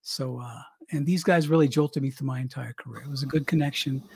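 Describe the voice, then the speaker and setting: Husky-voiced older man spinning radio tales. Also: mid-range voice, brisk, no echo. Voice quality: young-sounding voice. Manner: chatty, animated storyteller. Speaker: guest, former radio DJ. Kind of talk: casual storytelling interview.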